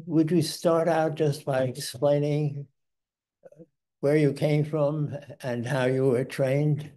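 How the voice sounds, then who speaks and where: Slow, mellow-voiced event host. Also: male voice, on the high side, steady tone. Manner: deliberate, with small slips and restarts. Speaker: host introducing the speaker. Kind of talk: formal introduction and interview questions.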